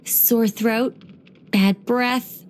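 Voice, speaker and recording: A woman voicing an ancient angel in a video game, dignified yet whimsical and chatty, with a measured, gently playful delivery. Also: a little high, steady tone. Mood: chatty, proud. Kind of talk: video game character voice lines.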